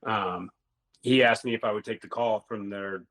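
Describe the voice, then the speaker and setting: A man's voice: lowish voice, steady tone, moderate pace, fairly bright tone. A middle-aged man, a former hockey player, telling rambling stories in a casual interview and swearing now and then.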